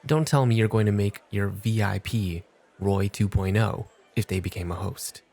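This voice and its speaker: A man acting as a cool male club host in an ASMR roleplay. His fairly low voice crackles with fry as he teases drily, firm and never rushed. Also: fairly even intonation, darkish tone. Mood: dry teasing. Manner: firm, dry, measured teasing.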